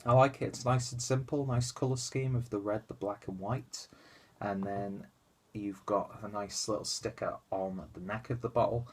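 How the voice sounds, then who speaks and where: A man's voice: on the low side, fairly even intonation, normal speed, darkish tone, slightly rough. A young man with a stuffy, congested nose and a nasal voice, chatting casually through a beer review at home on a webcam. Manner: rambling, chatty, lots of fillers and asides. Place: small room at home, indoors.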